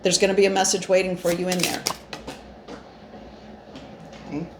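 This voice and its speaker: A woman with a gravelly voice giving an informal spiritual card reading, talking in an earnest, meandering way with gentle encouragement. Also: fairly low pitch, little pitch movement, moderate pace. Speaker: spiritual channeler and card reader. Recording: echoey room with faint noise.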